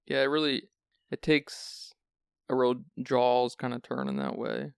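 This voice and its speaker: A man's voice: medium pitch, level tone, unhurried, gravelly, smooth, no echo, studio quality. A man hosting a film podcast who sounds sleepy, chatting casually and rambling with restarts. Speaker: podcast host.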